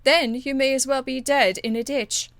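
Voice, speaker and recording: A woman's voice: high voice, fairly even intonation, moderate pace, a bit bright, dry recording. A woman voicing a proud, elegant, condescending court enchantress in a video game, with polished, haughty phrasing. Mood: amused, superior. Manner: haughty, polished, drawling endearments. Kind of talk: video game dialogue acting.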